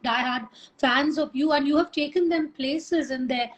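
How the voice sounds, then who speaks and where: A woman's voice: sits high, normal speed. A woman dermatologist speaking as a guest on a video call, talking casually with lots of fillers. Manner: warm, complimentary, filler-heavy.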